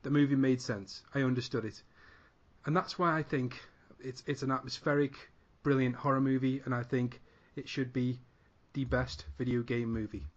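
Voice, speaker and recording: A man's voice: mid-range voice, flat delivery, normal speed. A British man giving his opinion in a casual panel chat, building enthusiastic lists. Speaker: panel regular. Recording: dull lo-fi audio.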